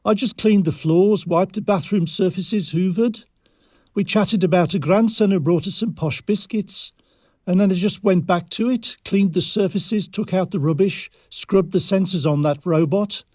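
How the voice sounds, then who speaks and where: A man's voice: high voice, moderate pace, a little mellow, no echo, studio quality. An actor playing a polite, mild, slightly apologetic male cleaner in a scripted audio drama, simple and deferential.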